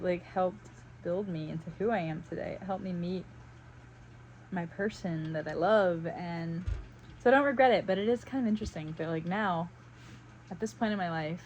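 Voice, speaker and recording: A woman's voice: lowish voice, a little mellow, muffled recording, a little hiss or hum. A woman in her thirties from South Carolina with a faint Southern US accent, chatting casually and reflectively while she paints, with many fillers. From South Carolina, United States. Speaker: vlogger. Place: home on Maui.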